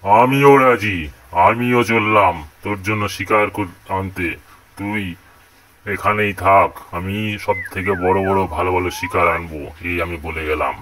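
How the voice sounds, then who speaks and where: A man's voice: deep voice, steady tone, unhurried, a bit bright, a little hiss or hum, poor audio. A man voicing a proud, boastful lion who claims to be almighty king, declaiming challenges in a children's cartoon. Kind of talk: children's cartoon voice acting in Bengali fable.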